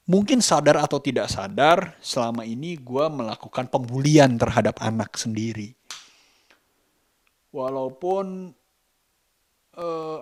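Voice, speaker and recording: A man with a colloquial Jakarta Indonesian accent talks reflectively and casually to camera as a vlogger, halting and thoughtful as he searches for words. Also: a little high, darkish tone. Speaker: vlogger and parent.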